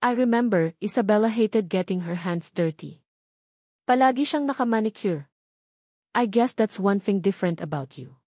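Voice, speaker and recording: A woman's voice: mid-range voice, expressive, moderate pace, a little mellow, no room sound, lightly acted. Storybook villainess, played by a female narrator: jealous, polished, pointed emphasis, sugary menace, dry sound. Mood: snide. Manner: pointed, emphatic, switching between languages. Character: snide, fake-sweet jealous woman, polished and threatening. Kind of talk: storybook drama dialogue.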